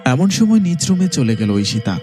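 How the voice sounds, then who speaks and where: A man's voice: on the high side, very animated, moderate pace, music in the background. A man narrating a scripted horror story as its storyteller.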